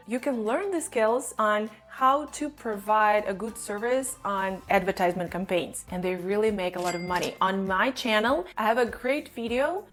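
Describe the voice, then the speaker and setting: A woman with a fairly high voice addresses viewers as an online video host. She is a non-native English speaker and explains things in a direct, instructive manner. Music plays behind her voice. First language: not English. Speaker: online video host, digital marketing agency owner.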